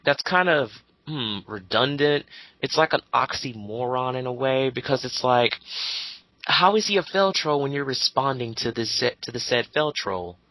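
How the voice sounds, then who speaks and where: A man with a mid-pitched, very bright and forceful voice in a dry, close recording. He talks casually and bluntly, arguing his points with plenty of swearing. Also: no room sound, low-quality recording. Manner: argumentative, blunt, foul-mouthed. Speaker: co-host commenting on online arguments. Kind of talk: casual chat commentary.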